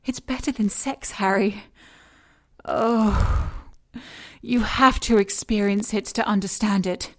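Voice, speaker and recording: A woman acting a shapeshifting scientist villain in an audio drama. Her medium-pitched voice stays composed and clinical, with cold condescension and obsessive conviction. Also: steady tone, takes it slowly, natural voice. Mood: rapturous.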